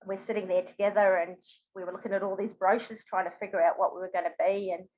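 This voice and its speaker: A woman's voice: mid-range voice, level tone, fast, phone-quality sound. A woman in her fifties with a New Zealand accent, chatting expressively and telling stories casually as an interview guest. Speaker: podcast guest.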